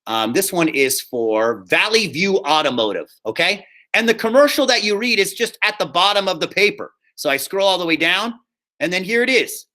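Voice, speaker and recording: A man speaking as a high school drama teacher, giving upbeat, informal instructions to students and often checking that they follow. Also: big pitch swings, moderate pace, bright, forceful tone. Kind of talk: casual instructional talk to students in an online class.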